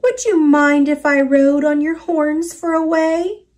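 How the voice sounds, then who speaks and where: A woman's voice: high-pitched, a little slow, darkish tone, voice pushed up. A woman voicing a small, friendly storybook bug with a casual, coaxing and breezy manner.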